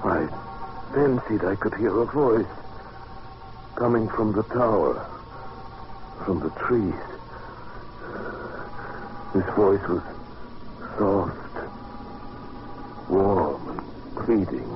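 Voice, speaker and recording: A grave, haunted adventurer with a very low voice tells his tale deliberately and dramatically. It is old radio horror acting, muffled, with plain background noise. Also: lively intonation, exaggerated voice. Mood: entranced, troubled. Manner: deliberate, dramatic, halting when moved. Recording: dull, noisy old radio recording.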